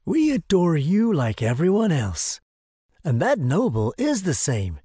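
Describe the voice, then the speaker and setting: A patient saint at heaven's gate. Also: male voice, on the high side, wildly swooping pitch, unhurried, mellow, breathy edge, dry recording, clean studio sound, exaggerated voice. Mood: reassuring. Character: calm, gentle middle-aged male saint at heaven's gate.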